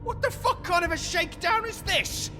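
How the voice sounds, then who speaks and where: High-pitched defiant thug in reverb. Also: male voice, normal speed. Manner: agitated, combative, swearing. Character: defiant, foul-mouthed male thug under interrogation. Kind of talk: video game voice acting. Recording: long hall reverb, music underneath.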